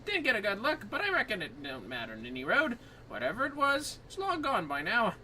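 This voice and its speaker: A man's voice: sits high, huge pitch swings, a little fast, sharp tone, a little hiss or hum, voice pushed up, exaggerated voice. A man voicing a plain-spoken rustic merchant from a fantasy game, talking in folksy dialect. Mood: matter-of-fact.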